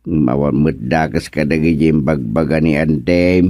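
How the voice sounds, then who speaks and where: Low and unhurried, this is an ailing, stubborn older uncle in an Ilocano radio comedy. He whines and digs in his heels, recorded dry and close. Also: dark tone, no room sound. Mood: weary, grumbling. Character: stubborn ailing uncle, village chairman, afraid of surgery. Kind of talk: radio drama comedy acting.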